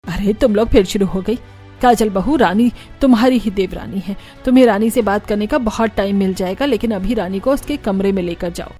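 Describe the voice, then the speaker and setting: A woman's voice: medium pitch, fairly quick, warm tone, soft background music, natural voice. A woman voicing a firm, fair-minded older mother-in-law in a cartoon, scolding yet reasonable. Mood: exasperated.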